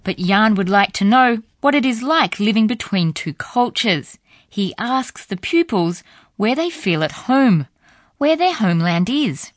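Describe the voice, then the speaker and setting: A woman narrating a language course in a measured, explanatory way. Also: a little high, lively intonation, no echo. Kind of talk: scripted narration.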